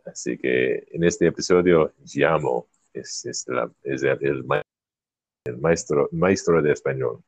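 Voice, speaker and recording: Very deep, slow, halting male learner. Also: husky, no echo. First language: English. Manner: halting, searching for words, many fillers. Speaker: podcast host. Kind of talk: casual chat, learner's Spanish.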